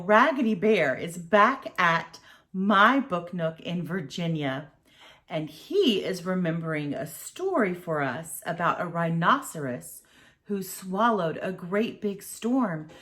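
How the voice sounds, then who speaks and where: Slow-paced woman hosting children's storytime. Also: fairly low pitch, a bit bright. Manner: simple, reassuring explanations addressed to young children.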